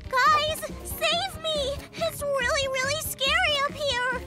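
An actor voicing a spirited, excitable little girl fox in a children's cartoon. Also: very high-pitched, slow, over loud music. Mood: frightened. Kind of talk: animated children's cartoon voice acting.

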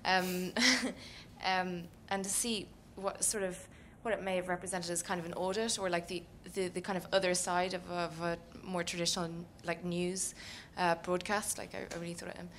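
Woman, audience questioner, lower flat voice, halting and unsure delivery. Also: a little hiss or hum. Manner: halting, many restarts. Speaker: audience member asking a question. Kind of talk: question from the floor.

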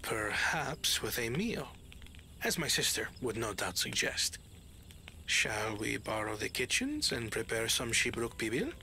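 An actor playing an earnest, bookish young prince in a video game, speaking in a measured, formal, reserved way. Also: male voice, mid-range voice, sing-song, gravelly, pushed and bright, slight background noise, full character voice. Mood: subdued, practical. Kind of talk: video game cutscene acting. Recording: background music under dialogue.

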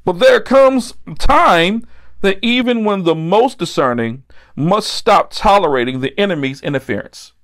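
Higher-pitched American male preacher, emphatic. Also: lively intonation, fairly bright tone. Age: middle-aged. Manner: emphatic, deliberate phrasing with repeated key lines. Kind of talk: sermon. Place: indoor set with a decorated backdrop.

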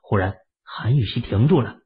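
A man with a raspy voice narrating an audio drama in a steady storytelling manner. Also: fairly low pitch, moderate pace, phone-quality sound.

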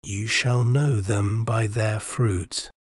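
A man reading scripture aloud in a measured, soothing bedtime manner, with long pauses between phrases. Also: on the low side, fairly even intonation, no room sound, studio quality. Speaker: scripture reader. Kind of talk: slow bedtime Bible reading.

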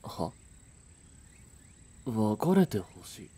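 A man's voice: lowish voice, sing-song, extremely slow, warm tone, slightly rough, heavily acted. A man playing a frustrated young working boyfriend in a romantic audio drama, speaking in blunt, casual masculine language. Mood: irritated, confused. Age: young adult.

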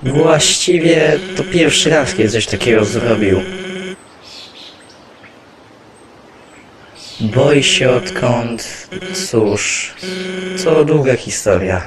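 A man voicing an excitable, friendly skeleton artist who tells a long tale expressively in an animated fan dub. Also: mid-range voice, slow, a crowd in the background, poor audio. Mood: apologetic. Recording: background music under the voice.

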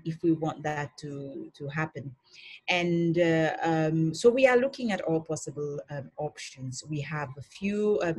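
A middle-aged woman from the Seychelles with a husky voice, speaking in a hesitant, measured way as a panellist on a video call. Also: deep voice. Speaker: tourism board chief executive, panellist. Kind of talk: online panel discussion.

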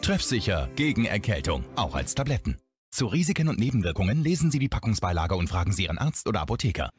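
A man's voice: lowish voice, sing-song, fast talker, over music. A man reading a polished, punchy scripted commercial voice-over as an announcer. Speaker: advertising announcer.

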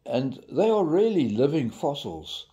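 Older man, mellow, expressive pitch, deliberate devotional storytelling. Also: lo-fi sound. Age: 70s. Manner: measured, deliberate storyteller with dramatic emphasis. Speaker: devotional preacher. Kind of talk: informal sermon with storytelling and scripture reading.

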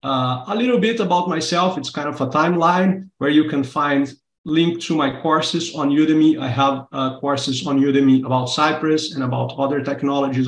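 A man from Brazil whose first language is Portuguese, speaking English on a video call as he walks through a testing project in a relaxed, explanatory way. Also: medium pitch, echoey room. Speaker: workshop presenter. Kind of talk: live online workshop walkthrough. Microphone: webcam video call.

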